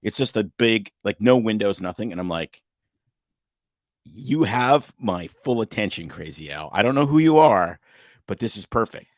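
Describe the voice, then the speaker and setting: A man's voice: fairly low pitch, animated, normal speed, dry recording, clean studio sound. A man from Canada co-hosting a podcast, telling a story in casual, comedic chat and slipping into mock ad-announcer bits. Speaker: podcast co-host.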